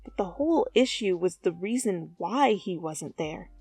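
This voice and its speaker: A woman reading fan fiction aloud as an expressive second-person story narration. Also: on the low side, measured pace, husky, soft background music.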